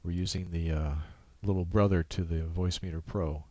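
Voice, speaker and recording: A man with a very low, mellow voice presents an informal screencast tutorial. He explains each step in a halting, practical way. The sound is dry and close, with little room in it. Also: fairly even intonation, no echo.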